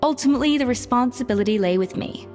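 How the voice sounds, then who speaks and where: Woman acting a composed, highish-voiced proud chef. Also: expressive, fast, darkish tone, in a large hall, faint music behind, lightly acted. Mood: composed, resolute. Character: proud, composed, responsible female former head chef. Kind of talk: video game voice acting.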